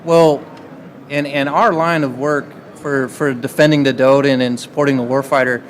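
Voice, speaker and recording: Panelist, male, from Texas, mid-pitched voice, halting delivery, faint hiss behind. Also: steady tone. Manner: halting, many fillers and restarts. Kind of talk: conference panel answer.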